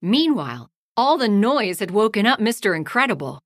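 A woman reading a children's picture book aloud, hushed at the bedtime lines and louder in the action. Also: mid-range voice, dry recording, studio quality. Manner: slow, lively storytelling, hushed at bedtime lines and loud in action. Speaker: storybook reader.

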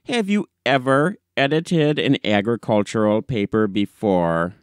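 A man voicing an austere, indignant old gentleman who questions testily in an audiobook story. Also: mid-range voice, a little slow, clear, resonant tone, dry recording, studio quality, natural voice. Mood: stern, skeptical. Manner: stern, testy questioning.

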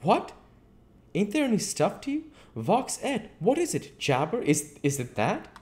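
A high-pitched, wildly swooping voice for an indignant, baffled tramp in a storybook, acted out by a man. He exclaims in disbelief and talks in rough, colloquial phrases. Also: wildly swooping pitch, a little slow, darkish tone, heavily acted. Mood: incredulous. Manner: exclaiming, incredulous, colloquial speech. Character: bewildered, indignant working-class tramp, adult man.

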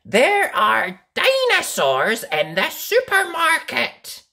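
Female children's story reader, slow and theatrical, with hugely varied intonation. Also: high voice, huge pitch swings, vocal fry, sharp tone. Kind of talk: expressive children's read-aloud.

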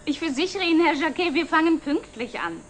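An actress playing a brisk, composed secretary to a company director in an old television drama. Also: female voice, sits high, lively intonation, a bit bright, slight background noise. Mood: brisk, efficient. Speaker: actor in a television series. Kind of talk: 1960s German television drama acting.

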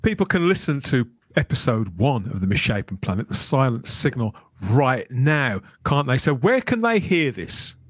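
A man's voice: medium pitch, big pitch swings, normal speed, few pauses, no room sound. A middle-aged man hosting a podcast in a conversational interview style, speaking into a close desk microphone at home. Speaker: podcast host. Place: small home room, indoors. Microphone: desk microphone close to the mouth.

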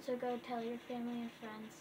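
A young girl chatting casually while playing a video game, reading the game's letters aloud haltingly. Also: fairly high pitch, flat delivery, noisy background. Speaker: gaming vlogger. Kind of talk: casual gameplay chat. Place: dim bedroom in front of a TV.

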